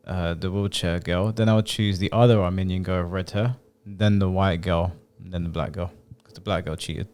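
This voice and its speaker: Male commentator, clean smooth lowish voice, blunt and informal, unscripted reaction chat. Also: darkish tone. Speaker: reaction video host. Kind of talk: casual opinionated commentary.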